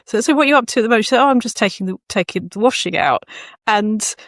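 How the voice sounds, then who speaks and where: A woman's voice: a little high, animated, racing, no room sound. Woman interviewer with dry, close sound. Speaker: host, interviewer. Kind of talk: casual chat.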